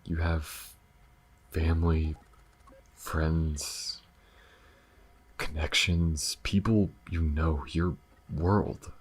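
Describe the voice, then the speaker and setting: Guy doing a soft-spoken alien boyfriend for asmr, super deep voice, slow and hesitant, tired but devoted. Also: level tone, husky, exaggerated voice. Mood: tender, earnest. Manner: soft, halting, hesitant, sighing delivery. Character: gentle, battle-weary alien man, earnest devoted lover. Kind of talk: intimate ASMR audio roleplay.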